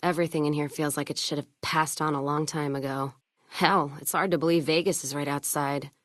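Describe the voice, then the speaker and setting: Actress as a hard-drinking caravan woman, low voice, blunt, sardonic, world-weary, video game dialogue, very dry close sound. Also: fairly even intonation, brisk, dry recording, natural voice. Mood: weary, wry. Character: tough, hard-drinking, foul-mouthed, world-weary female caravan owner.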